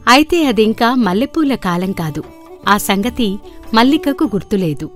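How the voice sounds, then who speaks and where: A woman narrates a scripted folktale. Her voice is fairly high and swings animatedly in pitch, with background music underneath. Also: animated, unhurried.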